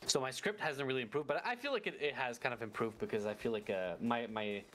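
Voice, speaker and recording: A man's voice: mid-range voice, fast talker, faint music behind. Man chatting as a guest. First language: French. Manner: animated, repeats words while thinking. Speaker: guest content creator. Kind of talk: casual interview chat.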